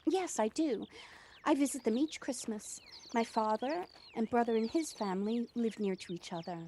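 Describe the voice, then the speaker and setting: A woman's voice: on the high side, normal speed, warm tone, close to an everyday voice. A woman acting a friendly, sociable mother in an amateur audio drama, in a conversational manner. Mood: friendly, curious. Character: friendly widowed mother, American-born, long settled in England. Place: at home, recorded remotely. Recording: faint noise, home personal-device recording.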